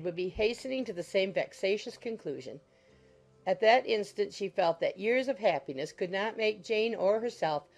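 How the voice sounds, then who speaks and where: A woman's voice: mid-range voice, darkish tone. A woman reading scripted literary narration as the narrator of an audiobook. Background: music.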